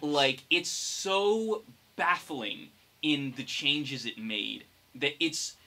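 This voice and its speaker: A man's voice: on the high side, big pitch swings, extremely slow, bright, forceful tone. A young man talking casually as a podcast co-host. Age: young adult. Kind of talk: casual chat. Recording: clear background noise.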